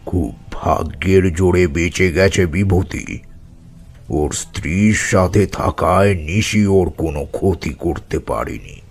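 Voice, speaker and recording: A man playing a grave older tantric in a horror audio drama, recounting occult tales with deliberate, dramatic weight and a gravelly voice. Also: rumbling low, exaggerated voice. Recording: music under the voice.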